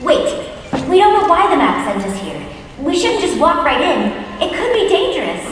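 Excitable young cartoon pony girl, lively up and down voice, noisy recording off a big screen. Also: high voice, moderate pace, distant mic. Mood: urgent. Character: young female cartoon ponies, earnest and excitable. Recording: echoey noisy hall screening, recorded from afar.

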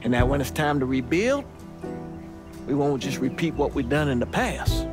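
An actor playing a reflective elderly priest, measured and wistful, as if interviewed for a documentary in a game. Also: male voice, medium pitch, animated, lightly acted. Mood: wistful, solemn. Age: older. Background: music.

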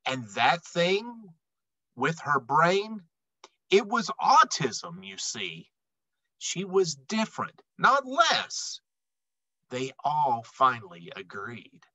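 Male storyteller, middle-aged, slow and animated, emphatic delivery for young children. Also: a little high, dry recording, rough recording. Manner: emphatic, dramatic phrasing with deliberate pauses. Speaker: read-aloud storyteller. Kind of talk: expressive children's picture book read-aloud.

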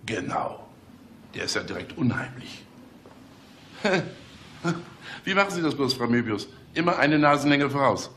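A man's voice: mid-range voice, lively intonation, unhurried, pauses often, fairly bright tone, slight background noise. A man acting in a German radio play as a smug, teasing middle-aged police chief, condescending and mock-solemn. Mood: amused admiration.

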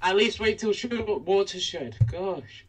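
A man's voice: sits high, animated, slow pace, slightly rough, far from the mic. A young man from Scotland joking around as co-host on an amateur radio show, often interrupting and repeating himself.

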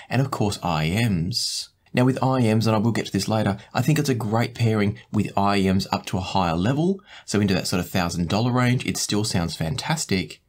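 A man, hosting a product review in a measured, explanatory way. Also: fairly low pitch. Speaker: host, audio gear reviewer. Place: small indoor home studio room.

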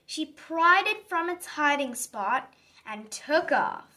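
A woman reading a children's story aloud in an expressive, dramatic manner for young listeners. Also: high-pitched, slow. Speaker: storybook reader. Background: background music.

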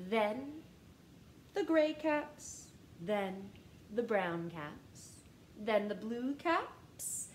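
Gentle slow woman reading to children. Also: sits high. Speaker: storytime reader. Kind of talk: children's read-aloud. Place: living room, seated on a couch. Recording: echoey room with faint hiss.

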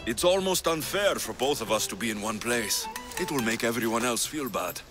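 A man plays a sardonic young Greek mercenary from a video game. He is dry and cocky, his mid-range voice swinging expressively, with music clearly playing underneath. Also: medium pitch, normal speed, close to an everyday voice. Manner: dry, deadpan. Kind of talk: video game cutscene acting.

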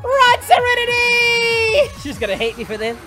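A performer voicing an excitable young male anime hero, hosting a reaction video with melodramatic yelling and wailing. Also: extremely high pitch, moderate pace, exaggerated voice. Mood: outraged. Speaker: reaction channel host. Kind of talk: over-the-top reaction commentary. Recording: music playing behind.

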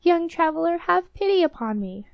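A woman's voice: very high voice, big pitch swings, moderate pace, vocal fry, no room sound, highs cut off, voice pushed up, exaggerated voice. A woman voicing an old ragged beggar woman in a storybook tale, emphatic in the way she pleads and instructs. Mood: pleading. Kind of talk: storybook character voice.

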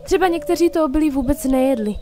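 A woman's voice: sits high, dark tone, a little hiss or hum, close to an everyday voice. A woman playing an anxious, pleading young sorceress in an amateur audio drama.

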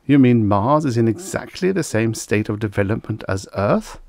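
A man's voice: on the low side, expressive, normal speed, a little mellow, dry recording. Man playing a bewildered, earnest spaceman. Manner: puzzled, earnest questioning. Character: bewildered, pleading younger-ranking spaceman companion, a man.

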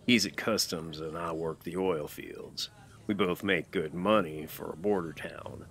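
A man playing a world-weary tough guy, narrating a motion comic in a terse, deadpan way. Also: low voice, unhurried. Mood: weary, flat.

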